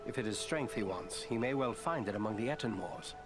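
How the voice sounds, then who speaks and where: A man's voice: lowish voice, expressive, brisk, over music, natural voice. An actor playing a serious, noble counsellor in a fantasy game, speaking formally and earnestly as he explains. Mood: earnest, briefing. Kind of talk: dramatic fantasy game acting.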